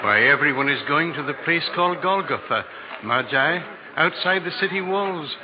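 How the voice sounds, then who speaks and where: A man's voice: on the high side, animated, moderate pace, close to an everyday voice. An actor playing an eager townsman of ancient times in a 1940s radio drama. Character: talkative townsman of ancient Jerusalem in a crowd. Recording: muffled, noisy 1940s radio recording.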